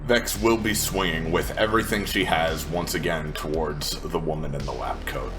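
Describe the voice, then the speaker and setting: Male roleplaying game player, very low voice, casual delivery, music clearly behind. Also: steady tone, normal speed. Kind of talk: casual tabletop play. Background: background music.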